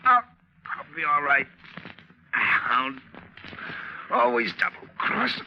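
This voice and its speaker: An old, muffled radio recording of a mutinous sailor. He is gruff and commanding, with curt delivery and a very animated pitch. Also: takes it slowly, slight background noise, exaggerated voice. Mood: weak, wounded. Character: gruff, domineering mutinous sailor adrift at sea. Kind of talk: 1940s radio drama acting. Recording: dull lo-fi 1940s radio recording.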